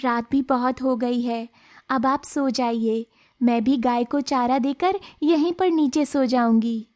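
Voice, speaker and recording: A woman voicing a kind, brave young village woman in a cartoon story, earnest and expressive. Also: slow pace. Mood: caring. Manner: earnest, expressive, high-voiced. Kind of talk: Hindi cartoon moral story dialogue.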